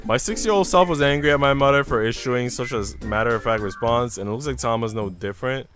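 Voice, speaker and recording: A man voicing a kindly, wry young man in a visual novel, reading in measured, gently chiding prose. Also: medium pitch, natural voice. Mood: reflective. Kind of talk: visual novel narration and dialogue read aloud. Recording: background music.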